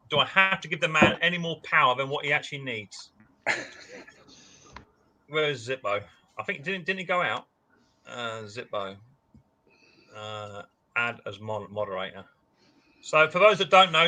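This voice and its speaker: A man's voice: medium pitch, bright, forceful tone. A man hosting a casual livestream, chatting and teasing his viewers. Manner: chatty, teasing, banter-heavy. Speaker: livestream host.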